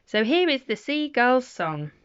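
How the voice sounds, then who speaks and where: A woman's voice: fairly high pitch, big pitch swings, measured pace, a bit bright, clear, resonant tone, dry recording, lo-fi sound. A middle-aged woman reading children's poems aloud at bedtime, with playful, chatty asides between the poems.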